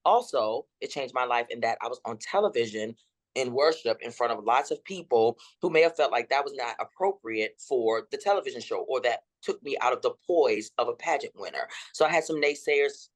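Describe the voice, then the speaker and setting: A woman in her fifties with a deep, rolling voice, telling her story dramatically as an interview guest. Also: a little fast. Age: 50s. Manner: dramatic and expressive.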